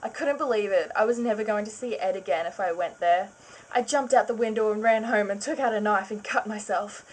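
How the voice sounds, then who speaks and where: A woman's voice: medium pitch, fairly quick, poor audio. A woman giving a mock-dramatic reading of fan fiction, giggling and breaking off for sarcastic asides. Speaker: reader of her own fan fiction.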